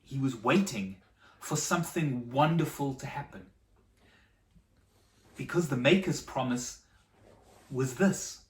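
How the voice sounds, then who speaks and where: Echoey, distant children's Bible storyteller. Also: mid-range voice. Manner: dramatic pauses and repetition for emphasis. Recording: echoey room, distant microphone.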